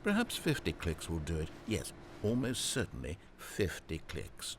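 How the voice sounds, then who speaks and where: An actor playing a pompous, fussy narrator in a video game, goading the player in theatrical, wordy fashion. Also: male voice, sits low, wildly swooping pitch, unhurried, background noise, full character voice. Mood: fussy, unimpressed.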